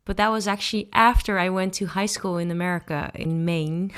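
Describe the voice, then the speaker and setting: A woman from the Netherlands with a Dutch accent, a singer-songwriter answering interview questions in a relaxed, thoughtful way with frequent ums and restarts. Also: on the low side, a little fast, clear, resonant tone.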